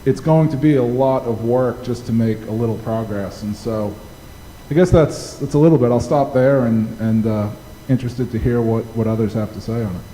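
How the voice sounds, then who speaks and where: A man's voice: mid-range voice, moderate pace, warm tone. A man giving a personal account as a local politician and activist at a public panel discussion. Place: indoor public library event hall. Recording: echoey hall, faint noise.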